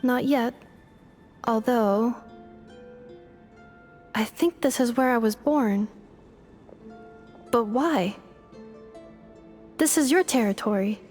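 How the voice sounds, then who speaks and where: Gentle young heroine, slightly high, unhurried, hesitant, searching, scripted game dialogue. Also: female voice, soft background music. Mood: unsure. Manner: hesitant, questioning. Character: gentle, uncertain young woman searching for her lost origins.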